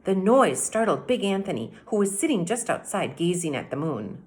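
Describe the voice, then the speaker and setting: A middle-aged woman, a teacher giving a read-aloud mini lesson, explaining things directly to children in an animated way. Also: lowish voice, normal speed. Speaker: elementary teacher. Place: indoor room at home by a window.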